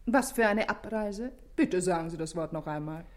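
An actress playing a worldly, self-assured madame in a German radio play, speaking with haughty, unruffled irony. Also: female voice, lowish voice, expressive, normal speed, close to an everyday voice. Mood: cool, evasive. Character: worldly, self-assured older woman, cool and mocking.

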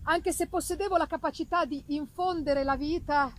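A woman playing an obsessed male scientist in a dramatic gothic novel reading, theatrical and emphatic, with drawn-out words and dramatic pauses. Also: high-pitched, steady tone, noisy background, close to an everyday voice. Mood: brooding, intense. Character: obsessed male scientist confessing his dangerous discovery, exalted and haunted. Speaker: stage reader. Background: live musical accompaniment.

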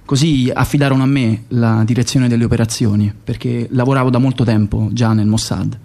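An actor playing a cold, boastful Mossad commander in a radio drama, speaking deliberately and repeating himself for emphasis. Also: male voice, mid-range voice, steady tone, vocal fry, dark tone, lightly acted. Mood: cold, self-assured. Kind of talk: radio theatre monologue.

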